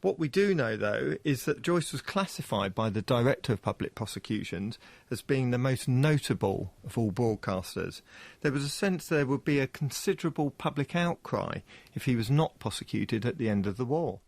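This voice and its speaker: A man's voice: medium pitch, lively intonation, normal speed, creaky, dry recording. A man explains things as an expert interviewee, in a considered, formal and precise manner.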